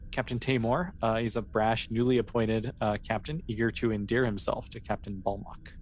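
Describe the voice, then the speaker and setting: A man's voice: on the low side, fairly even intonation, normal speed, a little mellow. A man narrating a tabletop role-playing game as game master, in an informal, hesitant, piecemeal way. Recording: clear background noise.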